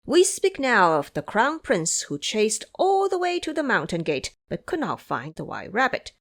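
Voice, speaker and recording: A woman narrating an audio drama as a scripted story, with expressive, dramatic delivery. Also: a little high, normal speed.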